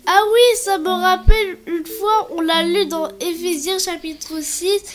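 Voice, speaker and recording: A keen little girl, performed by a woman, talks in a children's cartoon. The voice is extremely high and slow, with music clearly behind it. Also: fairly even intonation. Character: keen, well-taught little granddaughter.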